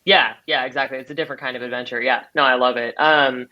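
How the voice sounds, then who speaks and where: A woman's voice: extremely low pitch, fairly even intonation, fast. A woman hosting a podcast, chatting in a casual, upbeat way with plenty of fillers. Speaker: podcast host. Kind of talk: casual interview chat. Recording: dull lo-fi call audio.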